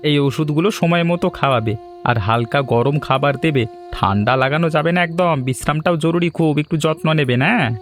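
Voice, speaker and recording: A man voicing a well-meaning village man in an animated family story, talking conversationally. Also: on the high side, fast talker, faint music behind, natural voice. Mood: reassuring. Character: village men: reassuring doctor and apologetic husband.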